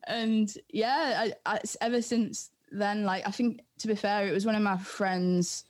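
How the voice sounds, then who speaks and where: A young woman with a Geordie accent speaks in a mid-pitched voice. She chats as a guest in an interview, telling stories in loose, slangy, informal language. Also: fairly even intonation, unhurried. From North East England. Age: young adult. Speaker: DJ and music producer being interviewed. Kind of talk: casual chat.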